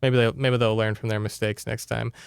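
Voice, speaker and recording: A man's voice: mid-range voice, flat delivery, brisk, gravelly, clear, resonant tone, no echo, clean studio sound. A man hosting a podcast, casually recapping a story with sarcastic, exasperated, sweary asides. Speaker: podcast host. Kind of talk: casual recap of a horror novel.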